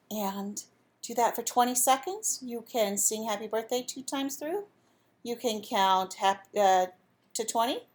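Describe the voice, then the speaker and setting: Higher-voiced woman reading to children. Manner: asks listeners questions, instructs simply. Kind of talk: storybook read-aloud with asides to children.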